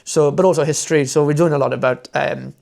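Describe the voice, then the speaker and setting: A man's voice: fairly high pitch, fairly even intonation, fast, gravelly, a little mellow. A young Welsh man, a fluent Welsh speaker, chatting as a podcast guest in a rambling, enthusiastic way full of fillers and restarts. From Wales. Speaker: podcast guest, motoring content creator. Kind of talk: casual interview chat.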